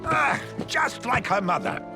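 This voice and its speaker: An actor playing a sly, blustering older crime-boss father with a gravelly voice in a video game. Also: very high voice, sing-song, a little slow, recorded from a distance, music in the background, heavily acted. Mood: fatherly, indignant. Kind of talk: cartoonish video game acting.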